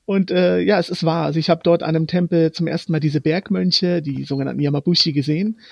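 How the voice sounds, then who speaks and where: A young man from Germany whose first language is Romani, a guest in an interview, talking in halting bursts as he hunts for words. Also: fairly high pitch, steady tone, creaky, no echo. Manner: halting, pausing often to find words. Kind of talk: interview conversation.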